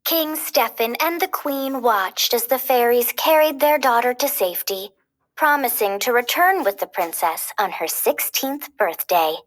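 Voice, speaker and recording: A woman reading a children's storybook aloud as a storyteller, expressive and clearly paced. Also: on the high side, a little slow.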